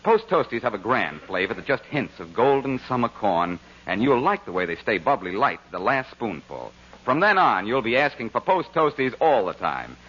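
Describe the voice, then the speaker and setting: A man's voice: medium pitch, bright, forceful tone, breathy edge. A man delivering a folksy, emphatic 1940s radio commercial as the announcer. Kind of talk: 1940s radio advertising pitch. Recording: dull vintage radio recording.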